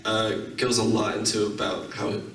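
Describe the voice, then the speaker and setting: A man's voice: fairly low pitch, flat delivery, moderate pace, a bit bright. A man chatting as a panelist in an offhand, informal, slangy way. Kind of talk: casual panel chat. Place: convention panel room. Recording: echoey room, distant from mic.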